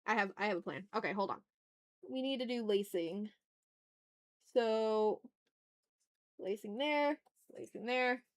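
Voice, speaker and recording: A woman's voice: medium pitch, a little slow, long pauses. A woman hosting an art livestream, chatting informally and candidly, thinking aloud as she draws and swearing freely. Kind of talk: casual chat while drawing on stream.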